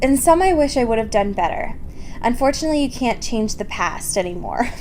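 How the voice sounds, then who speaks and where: Woman reading as reflective female vampire, wistful fan-fiction narration, slightly high, noisy recording. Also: expressive, brisk, darkish tone, natural voice.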